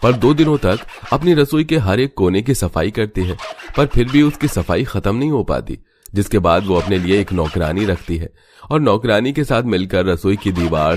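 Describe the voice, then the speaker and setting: A man's voice: low voice, a little mellow, slight background noise. A man reading scripted narration as a story narrator.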